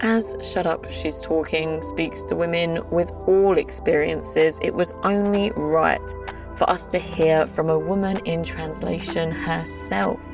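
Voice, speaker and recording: A woman's voice: deep voice, fairly even intonation, a little slow, far from the mic, over music. A woman hosting an interview show, reading scripted narration.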